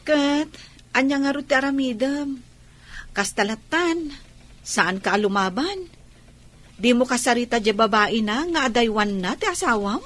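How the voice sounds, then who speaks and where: Actress as very high, animated Ilocano confidante. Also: female voice, measured pace, pauses often. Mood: probing. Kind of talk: Ilocano radio drama acting.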